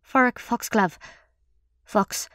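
A woman's voice: takes it slowly, pushed and bright, no room sound. An awestruck young boy, played by a woman reader speaks with shy eagerness. His earnest words ramble along in this storybook tale, recorded dry and close.

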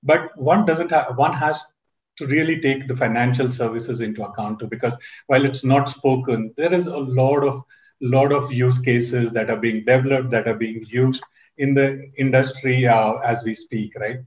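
A man's voice: medium pitch, fairly even intonation, normal speed. Panelist guy on a video call, keeps restarting, echoey room. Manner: enthusiastic, many repeated restarts. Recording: roomy video-call audio.

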